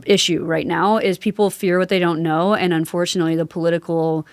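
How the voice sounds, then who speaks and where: A woman's voice: on the low side, little pitch movement, fairly quick, dry recording. A woman from the US South hosting a podcast, speaking in an earnest, affirming, conversational way. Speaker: podcast host. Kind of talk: conversational interviewing.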